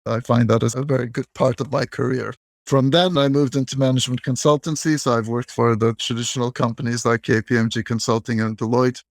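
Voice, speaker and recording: A middle-aged man from Turkey, a guest in an interview, explaining his career in a measured storytelling way. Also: medium pitch, fairly even intonation, creaky, no echo. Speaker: guest, bank technology executive. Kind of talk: interview answers.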